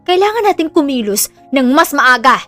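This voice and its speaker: A woman's voice: high-pitched, very animated, moderate pace, a bit bright, faint music behind. A woman with a Filipino accent playing a spiteful, greedy, scheming mother in an audio drama. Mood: cold scheming.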